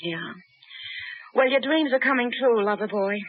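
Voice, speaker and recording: Sassy teasing secretary, bright high voice, old tinny radio. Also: female voice, animated, normal speed, dry recording. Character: wisecracking young woman secretary. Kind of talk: 1950s radio drama acting.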